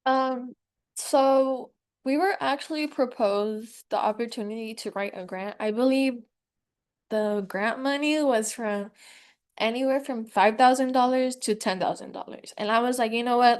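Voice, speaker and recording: Young woman telling how a project started, smooth clear voice, a bit high. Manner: relaxed storytelling. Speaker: panelist. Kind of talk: recounting a project. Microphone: video call.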